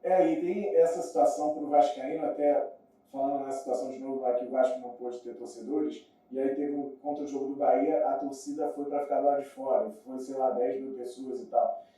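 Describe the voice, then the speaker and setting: A man's voice: medium pitch, fairly even intonation, a little fast, warm tone. A man with a Rio de Janeiro Brazilian accent chatting casually and telling stories in informal, slangy speech. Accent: Rio de Janeiro Brazilian Portuguese. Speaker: podcast guest. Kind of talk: casual chat, storytelling. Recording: muffled, very echoey room, distant mic.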